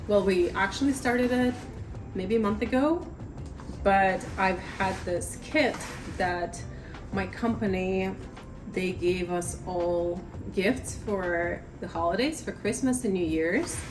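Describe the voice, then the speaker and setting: Chatty woman, slightly raspy voice. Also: medium pitch, takes it slowly, music in the background, poor audio. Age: 30s. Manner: chatty, rambling, self-deprecating. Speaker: vlogger. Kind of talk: chatty sit-down vlog. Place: home, at a table.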